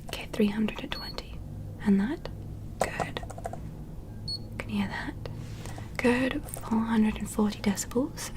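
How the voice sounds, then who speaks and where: A woman voicing a gentle, curious alien medical examiner in an ASMR roleplay, whispering softly and giving careful, soothing instructions. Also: mid-range voice, natural voice. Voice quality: soft breathy whisper.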